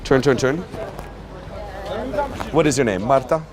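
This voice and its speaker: A man's voice: mid-range voice, measured pace. A man playfully flirting with a woman on a city street outdoors, joking and giving teasing commands.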